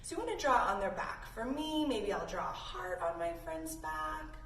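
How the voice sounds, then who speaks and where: A woman hosting a kids' yoga lesson, giving casual, encouraging instructions in an animated way made for young children. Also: high voice, moderate pace, vocal fry. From Canada. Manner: animated, child-directed, addresses listeners as friends. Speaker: children's yoga teacher and host. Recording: roomy echo, distant mic, faint noise.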